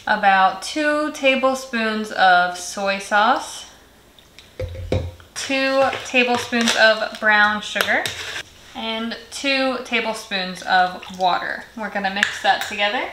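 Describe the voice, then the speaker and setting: A woman's voice: medium pitch, takes it slowly. A young woman hosting a cooking video in her home kitchen, explaining each step in a friendly, chatty way. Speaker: cooking channel host. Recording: echoey kitchen with sizzling pan noise. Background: frying and stirring sounds.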